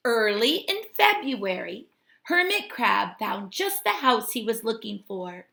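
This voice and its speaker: Slow, bright-voiced woman teacher reading aloud. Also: a little high, very animated, gravelly. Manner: deliberate, instructive delivery for young children. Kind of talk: children's read-aloud lesson. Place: home kitchen. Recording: echoey domestic room.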